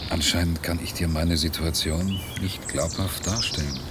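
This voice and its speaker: Troubled male test subject, acted, radio play: low, bitter, brooding, clear background noise. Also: fairly even intonation, normal speed, lightly acted. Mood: bitter, defensive. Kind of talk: German radio drama acting. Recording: noisy radio play scene.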